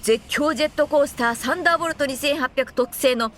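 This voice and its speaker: A woman playing a high-spirited blond young amusement park attendant in a radio drama, with bouncy, eager customer-service patter. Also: quick pace, a little hiss or hum, natural voice. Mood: apologetic, eager.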